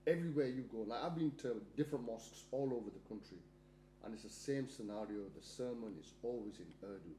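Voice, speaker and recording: A man's voice: mid-range voice, fast, echoey room, background noise. A man hosting a casual podcast chat and telling everyday anecdotes. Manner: chatty, tells anecdotes. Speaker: podcast host.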